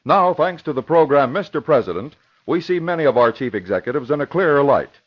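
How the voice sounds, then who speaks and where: A man's voice: fairly high pitch, expressive, fairly quick. An American man reading a scripted promotion in the style of an old-time radio announcer. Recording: dull old radio recording.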